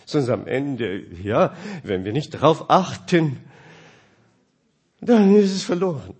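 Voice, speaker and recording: A man preaching a sermon in German, which is not his first language, asking his listeners questions and swinging between loud and quiet. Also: a little high, very animated, takes it slowly, slightly rough.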